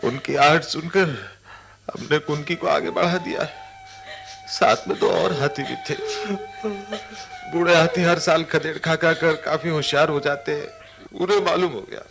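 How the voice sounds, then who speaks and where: An actor playing an obedient, earnest helper to an elephant catcher in a radio drama, speaking deferentially. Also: male voice, on the high side, measured pace, gravelly, a bit bright, faint music behind. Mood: pleading, explaining.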